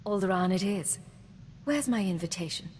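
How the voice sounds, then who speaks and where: A woman performing a cool, self-possessed secret agent in a sci-fi game. She is direct and wry, with a medium-pitched voice. The audio has long reverb and faint noise. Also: animated, moderate pace, close to an everyday voice. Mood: wry, curious. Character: poised, wry, affectionate female imperial secret agent. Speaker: player character in a video game. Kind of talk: scripted game dialogue.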